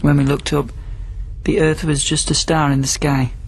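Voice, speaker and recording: An actor playing a wistful, pleading quarantined astronaut who is centuries old and immortal, in science fiction radio drama style. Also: male voice, on the high side, flat delivery, normal speed. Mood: wistful, reminiscing. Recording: clearly noisy background ambience.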